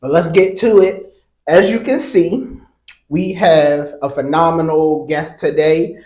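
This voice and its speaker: A man's voice: on the high side, slow. Chatty male podcast host, echoey room. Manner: relaxed, chatty, many ums and repeated words. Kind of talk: casual podcast interview.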